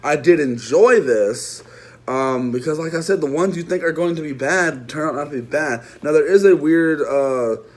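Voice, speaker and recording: A man's voice: on the high side, normal speed, a little mellow. A man giving a casual, unscripted movie review, rambling through restarts and side remarks while talking straight to his viewers. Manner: rambling, lots of restarts and trailing asides; chatty, addresses viewers directly.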